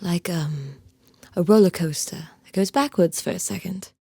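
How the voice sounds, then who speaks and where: A woman playing a prim, earnest theme park professional in an audio drama, speaking in a measured, reserved way. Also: lowish voice, gravelly, dark tone, breathy edge, no room sound.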